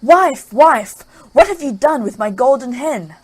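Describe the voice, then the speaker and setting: Booming storybook ogre, gruff and greedy, with a husky edge; a woman reads him. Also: expressive, measured pace. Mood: bellowing. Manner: loud bellowing calls.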